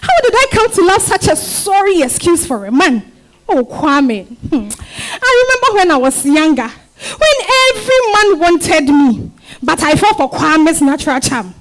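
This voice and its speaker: A woman's voice: sits high, big pitch swings, moderate pace, poor audio. A woman with a Ghanaian accent acting a defiant, exasperated wife and mother in a dramatized stage reading. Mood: wistful, aggrieved. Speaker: stage actor.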